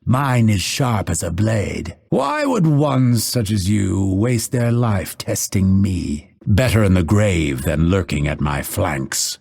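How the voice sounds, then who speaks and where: A cold, proud video game assassin. His husky, low voice is full of gravel. He speaks slowly and solemnly, weighing each phrase with haughty, theatrical disdain. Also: a little mellow, full character voice. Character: cold, proud, disdainful male assassin hunted since birth. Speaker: video game character voice actor.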